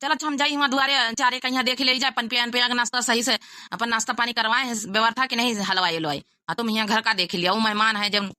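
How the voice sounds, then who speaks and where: A woman's voice: fairly high pitch, steady tone, fast, pushed and bright, no echo. A woman voicing a busy, practical young villager with an Awadhi accent in a comedy cartoon. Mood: brisk, practical. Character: busy young villager preparing for a family wedding. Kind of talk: rural comedy cartoon dialogue.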